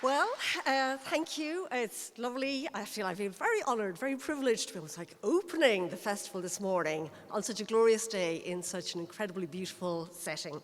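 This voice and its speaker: A middle-aged Irish woman from Ireland gives a measured, explanatory local history talk to an audience. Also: mid-range voice, lively intonation. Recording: long hall reverb.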